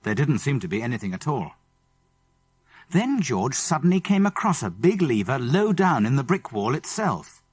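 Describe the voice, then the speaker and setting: A man's voice: medium pitch, big pitch swings, normal speed, a little mellow, breathy edge. A man with a British English accent narrating a children's adventure story dramatically. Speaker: audiobook narrator. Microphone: close studio microphone.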